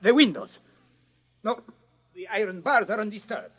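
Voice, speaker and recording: An actor who stammers and then gloats. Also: male voice, high voice, expressive, normal speed, a bit bright, slightly rough, no echo, heavily acted. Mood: alarmed. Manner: rattled stammering, then sly and pleased. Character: flustered Mexican male hotel manager, greedy and superstitious. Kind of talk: 1950s radio drama acting. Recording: dull old radio transcription with faint music.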